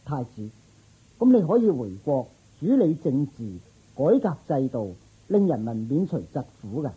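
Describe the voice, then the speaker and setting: A man's voice: medium pitch, sing-song, normal speed, warm tone, breathy edge, poor audio. A man playing a dutiful, agreeable court official in a Cantonese radio drama, earnest and deferential. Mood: respectful.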